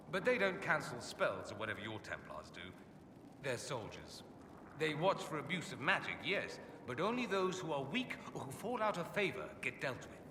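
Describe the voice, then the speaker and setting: An actor playing a witty, haughty mage from a fantasy empire, speaking with suave, theatrically arch sarcasm in a video game. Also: male voice, medium pitch, distant mic. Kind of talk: video game character dialogue. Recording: echoey hall, clear background ambience noise.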